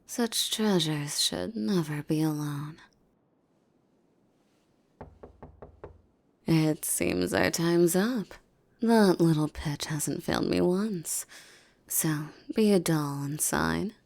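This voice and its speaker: Woman voicing a female demon, seductive, menacing, formal, breathy whispers, slow delivery, gravelly fry, audio roleplay. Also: deep voice, a little mellow, heavily acted. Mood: possessive, smug. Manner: formal, commanding, coaxing, often slipping into breathy whispers. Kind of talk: roleplay ASMR acting, intimate monologue.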